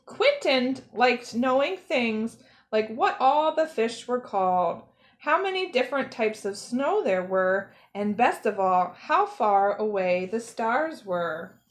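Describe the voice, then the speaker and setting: A woman reads a children's picture book aloud with expressive phrasing. Her voice sits a little high and she goes slowly, setting out each phrase with care for young listeners. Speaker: storyteller, zoo educator.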